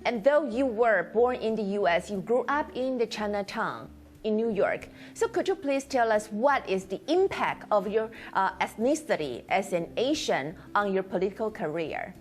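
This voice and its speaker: A woman hosting an interview, asking questions politely and in halting phrases. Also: mid-range voice, very animated. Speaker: interviewer, host. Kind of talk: video interview for an overseas audience.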